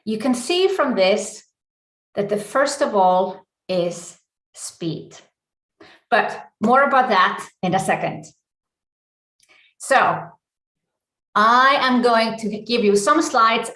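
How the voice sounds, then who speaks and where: A woman with an Icelandic accent leads a live online coaching presentation, speaking deliberately in short, emphatic phrases. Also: a little high, expressive, a bit bright. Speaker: business coach and session host. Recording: echoey room.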